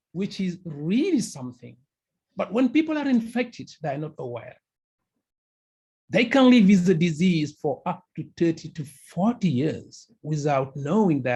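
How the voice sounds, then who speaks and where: A man from Senegal giving a webinar talk over a video call, with halting, emphatic phrasing. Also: high-pitched, warm tone, breathy edge, dull audio. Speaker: researcher presenting. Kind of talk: webinar presentation.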